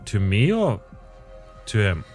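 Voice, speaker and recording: Male gameplay commentator, low voice a bit strained, mumbly think-aloud delivery. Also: very animated, takes it slowly, darkish tone, faint music behind. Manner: thinks aloud, muttering calculations and self-corrections. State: voice strained lately.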